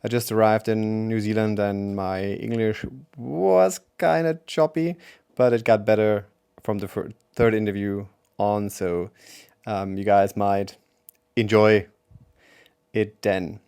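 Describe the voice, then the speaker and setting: Smooth low-voiced German man hosting. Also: steady tone, takes it slowly, a little mellow. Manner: hesitant, searching for words with many fillers. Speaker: podcast host. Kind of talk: casual interview.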